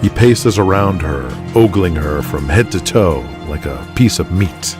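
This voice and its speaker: A man's voice: low voice, animated, measured pace, music in the background. A man reading scripted dramatic narration for an audio drama, in the present tense.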